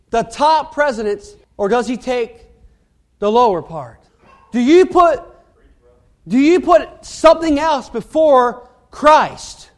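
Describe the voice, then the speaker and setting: A man preaching a sermon in a halting, earnest way, often repeating words as he goes. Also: very high-pitched.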